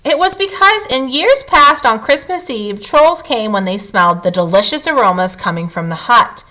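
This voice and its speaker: A woman reading a children's picture book aloud as a storyteller, with expressive, dramatic emphasis for young listeners. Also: on the high side, normal speed.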